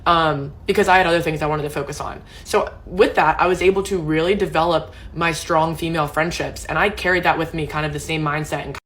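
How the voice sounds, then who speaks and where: Young woman, low voice, reflective, hesitant, slight background hiss. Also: fairly even intonation, brisk, hardly any pauses, fairly bright tone. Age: 20s. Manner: reflective, hesitant, many "like" and "whatever". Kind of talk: personal testimonial video.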